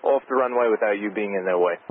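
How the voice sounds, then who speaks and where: A man's voice: on the low side, quick pace. A man working as an air traffic controller, patiently explaining directions over the radio. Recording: tinny radio transmission with faint hiss.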